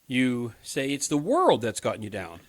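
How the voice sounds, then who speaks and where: An actor playing an earnest, inexperienced young crisis counselor in a radio play, hesitant and pleading. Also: male voice, medium pitch, wildly swooping pitch, normal speed. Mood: earnest, defensive. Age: 20s.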